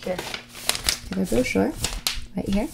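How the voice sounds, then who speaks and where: A woman playing a mean, snobbish rich young woman on a date in an ASMR roleplay, mixing breathy whispers with soft speech in a condescending, catty drawl. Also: mid-range voice, slow pace, very noisy. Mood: snobby, smug.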